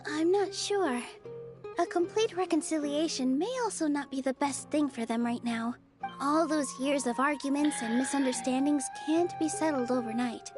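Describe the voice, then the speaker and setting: A young woman voicing a gentle, kind theater dancer who speaks softly and encouragingly. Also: high-pitched, lightly acted. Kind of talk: game voice acting. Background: game music.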